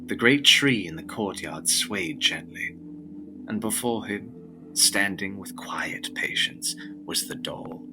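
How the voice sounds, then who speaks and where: A male narrator with a very low voice delivers scripted gothic fiction. His phrasing is deliberate, solemn and dramatic. Music plays clearly behind the narration. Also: low-quality recording.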